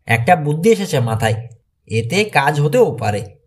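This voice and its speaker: A man's voice: a little high, sing-song, measured pace, slightly rough, voice pushed down, full character voice. A man voicing a clever, cool-headed teenage boy detective who talks decisively in an audiobook. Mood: calm planning.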